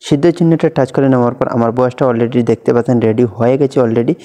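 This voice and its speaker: Young man, tutorial host, rapid speech, warm low tone, step-by-step instructions. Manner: steady step-by-step instructions, much repetition. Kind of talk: screen-recorded app tutorial.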